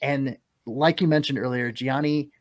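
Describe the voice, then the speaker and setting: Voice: mid-range voice, fairly even intonation, moderate pace. A co-host running a segment of a hockey podcast, organized and jokey. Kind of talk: casual hockey podcast chat.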